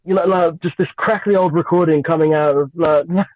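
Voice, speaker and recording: A woman's voice: lowish voice, steady tone, a little fast, no room sound. Giggly young woman on a phone line. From Nigeria and England. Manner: giggly, hesitant with many fillers. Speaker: guest singer. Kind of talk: radio interview, casual chat. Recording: tinny phone-line sound, dry.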